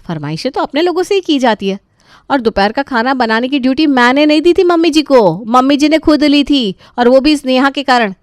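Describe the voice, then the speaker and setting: Woman doing a demanding young daughter-in-law, snippy and arguing, high voice, cartoon story, dry audio. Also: animated, brisk, no echo. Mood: defiant, sarcastic. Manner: argumentative, sarcastic. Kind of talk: animated moral story dialogue.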